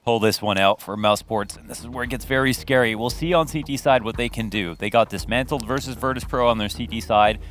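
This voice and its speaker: A man's voice: medium pitch, fast talker, few pauses, fairly bright tone, a little hiss or hum. A man giving conversational colour commentary and analysis on a live esports match.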